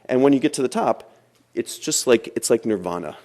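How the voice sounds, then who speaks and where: A man's voice: medium pitch, animated, brisk, a little mellow. A man giving a lecture as a language teacher, explaining step by step and repeating phrases for emphasis. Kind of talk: classroom lecture.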